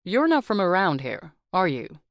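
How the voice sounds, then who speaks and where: Woman voicing a smooth-toned young doorman. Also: fairly low pitch, big pitch swings, normal speed, no echo, studio quality. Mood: friendly.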